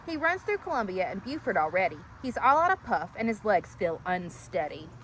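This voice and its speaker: A woman storyteller reading a rhyming children's story aloud in an animated style. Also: mid-range voice, fairly quick, slight background noise, low-quality recording.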